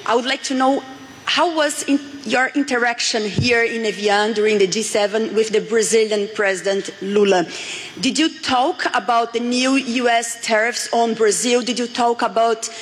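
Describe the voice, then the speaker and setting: High-voiced woman reporter in an echoing hall. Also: fairly even intonation, normal speed. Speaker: reporter asking a question. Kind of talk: press conference question. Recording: echoing hall, faint background noise.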